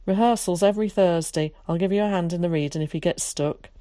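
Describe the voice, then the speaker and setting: A woman's voice: lowish voice, fast, warm tone, dry recording, clean studio sound, natural voice. A woman voicing a bossy, impatient, teasing country boy in a storybook reading. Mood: teasing, decisive.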